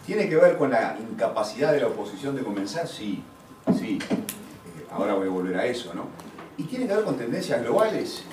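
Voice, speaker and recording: Male Uruguayan panelist, rhetorical question-and-answer delivery, mid pitch, noisy room sound. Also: very animated, normal speed. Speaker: political scientist panelist. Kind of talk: extemporaneous answers at a public forum. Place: lecture hall at a business school. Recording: echoey room, distant mic, noisy.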